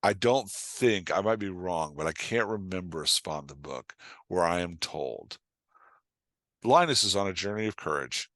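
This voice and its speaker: A man's voice: sits low, expressive, normal speed, a bit bright, dry recording, clean studio sound. A man giving a casual interview as a guest writing coach, explaining craft emphatically and checking in with the listener as he goes.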